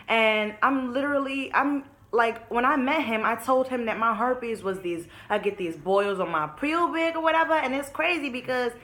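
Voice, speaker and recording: Candid young woman vlogger, slightly high voice. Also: a bit bright. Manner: candid, frank, addresses viewers as y'all, swears casually. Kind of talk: candid storytelling to camera.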